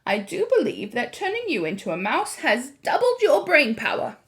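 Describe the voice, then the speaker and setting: A woman voicing a firm, affectionate old grandmother in a storybook, speaking in a measured, emphatic way. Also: high voice, wildly swooping pitch, pitched above the performer's own voice, full character voice. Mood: fond, impressed.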